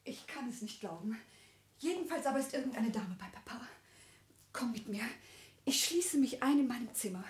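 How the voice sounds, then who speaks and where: An actress playing a sensitive, overwrought upper-class daughter in a classic radio play, plaintive and agitated. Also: female voice, fairly high pitch, expressive, moderate pace, darkish tone, close to an everyday voice. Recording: echoey old radio studio recording.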